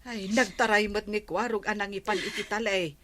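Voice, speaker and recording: A woman with an Ilocano accent acting as a village captain's calm, reassuring wife in a comedy drama. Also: medium pitch, moderate pace, faint music behind, lightly acted.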